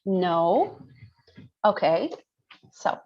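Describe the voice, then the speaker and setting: A woman's voice: on the low side, sing-song, extremely slow, darkish tone. A woman with an Iranian accent teaching an interactive online medical class, explaining and often checking that listeners follow. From northern Iran. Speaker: lecturer, pediatrician. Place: indoors on a video call. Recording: muffled video call audio.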